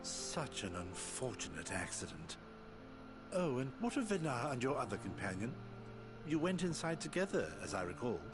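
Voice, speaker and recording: An actor playing a courteous male scholar in a game cutscene, polite and gently inquisitive. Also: medium pitch, very animated, moderate pace, over music, natural voice.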